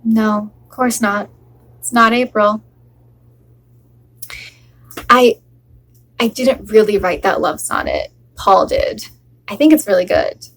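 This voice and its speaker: A woman's voice: medium pitch, fairly bright tone, rough recording. A woman performing a monologue as a wife who refuses to accept her divorce, joking sarcastically while holding back tears. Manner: sarcastic, forced laughter over near tears.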